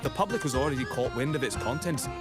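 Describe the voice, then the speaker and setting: A man's voice: medium pitch, expressive, quick pace, natural voice. An actor playing a gossipy, dramatic townsman in a fantasy video game. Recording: loud music behind the voice.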